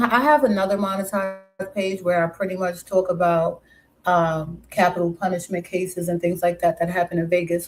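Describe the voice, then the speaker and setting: A woman's voice: mid-range voice, darkish tone, poor audio. A woman from Brooklyn with a mixed New York and West Indian accent, casually chatting with viewers on her live stream and calling them pet names.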